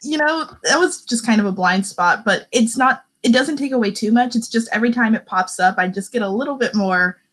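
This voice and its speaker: A woman's voice: a little high, a little fast, few pauses, fairly bright tone. A woman talking casually as a panelist in a book discussion.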